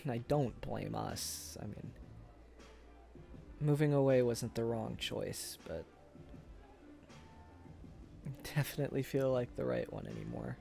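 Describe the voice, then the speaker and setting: A man playing a wistful, self-deprecating former high school sweetheart in an intimate, halting boyfriend ASMR roleplay. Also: vocal fry, warm tone, soft background music. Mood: regretful, tender. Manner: hesitant, halting, chuckles through confessions.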